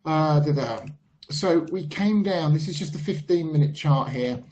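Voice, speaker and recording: A man, losing his voice a little, talking through trading charts in a measured way with frequent pauses and ums. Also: fairly high pitch, a little mellow. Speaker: market analyst. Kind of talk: screen-share chart commentary. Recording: slightly dull lo-fi voice-over.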